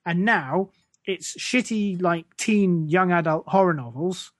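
A man's voice: high-pitched, takes it slowly, studio quality. English man, podcast host, scornful, sarcastic, foul-mouthed, casual ranting, very little echo. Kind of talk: casual comic rant.

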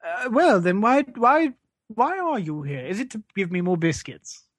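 A man's voice: sits high, sing-song, a little slow, no echo, clean studio sound, heavily acted. A man with a British accent playing a shifty, menacing, shameless parish reverend in an improvised comedy sketch, hesitant, evasive and falsely polite. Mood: evasive, quietly threatening.

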